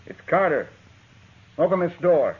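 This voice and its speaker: An American actor playing a belligerent, demanding frontier townsman, forceful and confrontational, in a 1950s Western radio drama. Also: fairly high pitch, expressive, measured pace, background noise. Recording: dull, muffled 1950s radio recording.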